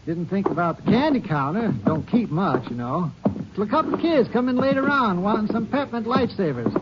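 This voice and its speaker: An actor playing a sleepy, easygoing rural storekeeper, drawling folksy talk in a 1950s radio drama. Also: male voice, high-pitched, sing-song, a little fast. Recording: noisy dull old radio recording.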